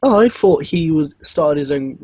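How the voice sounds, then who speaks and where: A man with a slightly high, very husky voice that sounds hoarse and close to giving out. He chats casually as a podcast co-host, recorded fairly dry. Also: lively intonation, moderate pace, mellow, dry recording. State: hoarse, voice starting to give out. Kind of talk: casual chat.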